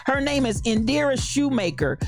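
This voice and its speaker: A woman in her late fifties, a pastor hosting a livestream, talking in a lively, preacherly way and calling out to viewers by name. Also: high-pitched, normal speed, over music. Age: 50s. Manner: animated, preacherly, calls out to viewers. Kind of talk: lively livestream talk greeting chat.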